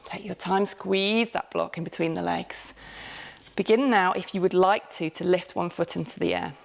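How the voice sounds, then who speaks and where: A woman leads a yoga class in a low, slightly gravelly voice. She gives calm, step-by-step cues, breaks into light laughs now and then, and corrects herself mid-sentence. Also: normal speed. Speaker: yoga teacher. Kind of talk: guided yoga class instruction.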